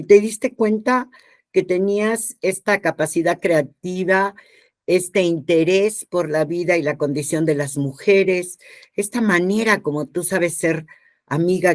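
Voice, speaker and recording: A woman's voice: fairly low pitch, no echo, studio quality. An older woman with a Mexican accent, hosting a conversational interview in an informal, rambling way full of asides and questions. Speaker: podcast host and interviewer.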